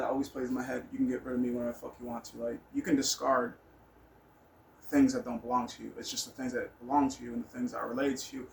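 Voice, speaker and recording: A man's voice: mid-range voice, creaky, low-quality recording. A man telling personal stories in a casual, rambling monologue, blunt and candid, with some swearing. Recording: noticeable background noise.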